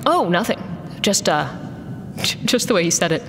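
A tough, wary mercenary in an audio drama. Her voice is forceful and expressive, with dry assertiveness, ringing in a long reverb. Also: normal speed. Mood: wry. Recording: long reverb, faint rumble behind.

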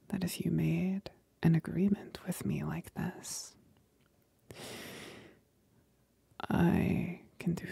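A woman's voice: on the low side, mellow, no room sound, full character voice. A woman voicing a possessive demon companion in a whispered ASMR roleplay, seductive and commanding, soothing yet domineering. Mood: possessive, solemn. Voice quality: breathy whisper.

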